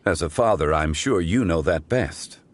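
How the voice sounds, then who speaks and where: Sage young gentleman for a game: low voice, formal, richly varied intonation. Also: expressive, a little hiss or hum. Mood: gentle, philosophical. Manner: formal, measured, philosophical. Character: calm, courteous, wise gentleman who seems young. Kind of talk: video game voice acting.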